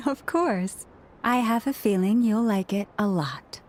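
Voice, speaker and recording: An actress playing a gentle, poised young priestess in a video game, speaking in a measured, polite, composed way. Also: female voice, on the high side, expressive, noisy background. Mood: gently explaining. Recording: music under the voice.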